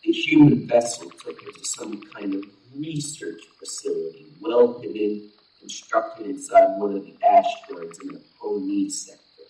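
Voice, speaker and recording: A man playing a proud, restrained alien warrior envoy in an audio play, speaking in formal, measured, deliberate phrases. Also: on the low side, mellow, natural voice. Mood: grave, measured. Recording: roomy echo, distant from mic.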